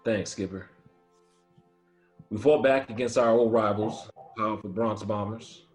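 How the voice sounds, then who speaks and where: Male actor, lowish voice, proud intense sports-hero dad, table-read drama over music. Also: unhurried, lightly acted. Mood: proud, reflective. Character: proud, demanding baseball-star father, earnest and intense.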